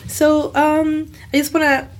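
A woman's voice: high-pitched, level tone, a little slow, slight background noise. A woman hosting a podcast interview who gushes and exclaims often. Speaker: podcast host. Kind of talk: scripted intro, then casual interview chat.

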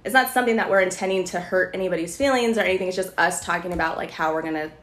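A woman's voice: on the low side, a bit bright, reverberant. A woman co-hosting a podcast, playful and giggly, chatting and joking casually. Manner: playful, giggly, quick to joke. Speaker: podcast co-host. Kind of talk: casual chat.

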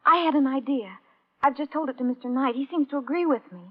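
An actress playing a naive young aspiring performer in a classic radio drama, eager, earnest and headstrong. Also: female voice, sits high, fast talker, natural voice. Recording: tinny, narrow old radio recording.

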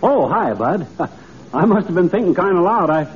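An actor playing a cheerful, gullible middle-aged braggart in a folksy, chuckling, excitable old-time radio comedy style. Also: male voice, high voice, moderate pace. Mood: eager. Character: boastful, cheerful, gullible middle-aged small-town man. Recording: dull, noisy old radio recording.

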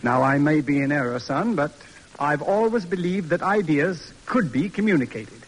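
A man's voice: a little high, lively intonation, moderate pace, slightly rough. An actor playing a stern, articulate middle-aged American judge and father, formal and dryly sarcastic, in an old-time radio drama. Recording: noisy vintage radio recording.

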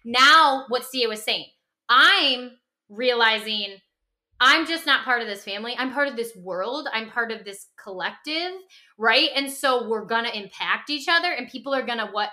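A woman's voice: fairly high pitch, normal speed. A woman co-hosting a podcast, explaining ideas casually and emphatically with rhetorical questions. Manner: animated, emphatic, uses rhetorical questions and acted-out quotes. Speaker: podcast co-host.

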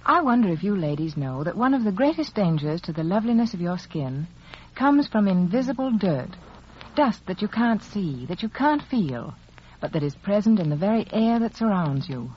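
A woman's voice: medium pitch, big pitch swings, moderate pace. A woman with an American accent reading a scripted 1940s radio beauty advertisement as an expert. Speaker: beauty authority giving sponsor message. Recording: muffled, faintly noisy 1940s radio recording.

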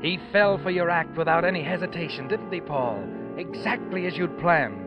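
Casting: male actor as knowing, insinuating suspense narrator, slightly high voice, vintage muffled radio with music underneath. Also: expressive, normal speed, close to an everyday voice. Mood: sly, ominous. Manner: sly, insinuating, addressing the character directly. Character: sly omniscient male narrator taunting a guilty schemer. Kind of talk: old-time radio suspense narration, second person.

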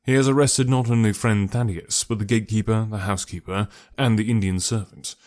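A man reading a brisk, cool gentleman detective. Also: fairly low pitch, steady tone, no room sound, clean studio sound, lightly acted.

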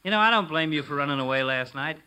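An actor playing a folksy, teasing barber with slangy talk in a 1940s radio comedy. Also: male voice, a little high, animated, fast, heavy background noise, lightly acted. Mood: egging on. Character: teasing, folksy small-town barber, male.

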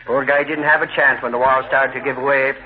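An actor playing a boastful, excitable Irish-American newspaper reporter in 1940s radio style, with a colloquial, blustering manner. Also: male voice, medium pitch, fairly quick, a crowd in the background, close to an everyday voice. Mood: matter-of-fact. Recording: noisy, tinny old radio recording.

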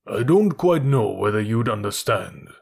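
A man puts on a big male elephant from an animal storybook. The voice is gurgly and gravelly, with a rough husk. He comes across as weary and superior. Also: big pitch swings, measured pace, full character voice. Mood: hesitant. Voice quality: gurgly, rumbly.

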